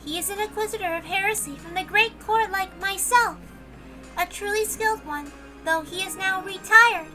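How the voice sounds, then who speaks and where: Very high, playful witch. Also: moderate pace, bright, forceful tone, soft background music, low-quality recording. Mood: smug. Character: playful, scheming, childlike female witch. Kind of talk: visual novel read-aloud character acting.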